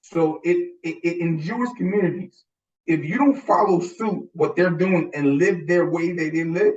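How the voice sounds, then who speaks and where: A man's voice: fairly high pitch, normal speed, a little mellow, far from the mic. A man co-hosting a podcast, arguing in casual debate and insisting, repeating himself as he makes his point. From Haitian, Dominican and Italian descent. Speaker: podcast co-host. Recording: roomy, dull sound from off-mic.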